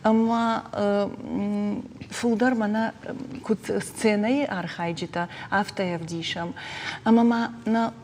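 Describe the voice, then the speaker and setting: A woman's voice: mid-range voice, fairly even intonation, slow pace, darkish tone. A woman with an Ossetian accent speaking unscripted as an interviewed guest about her work. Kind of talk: unscripted studio interview talk. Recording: echoey room, noisy background.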